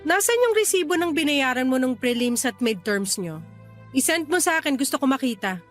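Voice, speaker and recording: A woman's voice: high voice, lively intonation, a little fast. A woman playing a stern, scolding older sister in a radio drama, with a Filipino accent. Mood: suspicious, probing. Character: angry, scolding older sister who supports her siblings. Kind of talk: Tagalog radio drama acting. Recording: faint music behind the voice.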